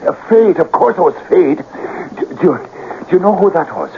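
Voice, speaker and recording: An actor in a 1970s radio drama playing an excitable, cajoling sidekick who believes in fate, with a theatrical delivery. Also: male voice, high voice, moderate pace. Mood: alarmed, exasperated. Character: excitable, coaxing, fate-believing male sidekick, a wily talker. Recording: dull, noisy old radio broadcast recording.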